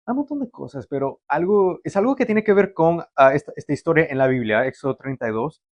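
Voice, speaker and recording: A man's voice: fairly high pitch, expressive, a little fast, no room sound, lo-fi sound. A young man born in Canada, preaching a sermon to a livestream audience in a conversational way, with fillers and restarts. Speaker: pastor.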